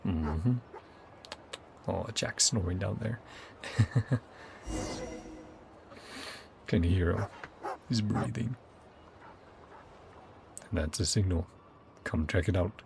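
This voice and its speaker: A man's voice: sits low, drawn-out words, vocal fry, long pauses, background noise. A man giving casual let's play commentary, thinking aloud and reading game text out, often with a chuckle. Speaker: game streamer. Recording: game music behind voice. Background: video game music.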